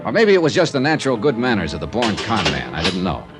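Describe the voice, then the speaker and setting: An American actor playing a terse, probing, world-weary but fair insurance investigator in a 1950s radio crime drama. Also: male voice, fairly low pitch, wildly swooping pitch, fast. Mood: wry narrating. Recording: old radio recording with music.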